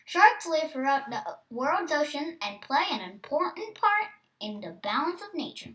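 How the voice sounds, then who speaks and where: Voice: high voice, very animated, moderate pace, fairly bright tone, distant mic. A nine-year-old child hosting a read-aloud, with casual asides and some stumbles on hard words. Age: child, nine years old. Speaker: host reading a book aloud.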